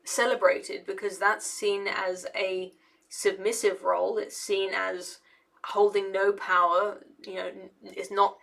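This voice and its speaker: A young woman talking casually to camera, with a gravelly edge and a fairly flat, level delivery. She rambles and hesitates, restarting her sentences and leaning on fillers as she works through her thoughts. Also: medium pitch, measured pace. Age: young adult. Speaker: engineer and video essayist.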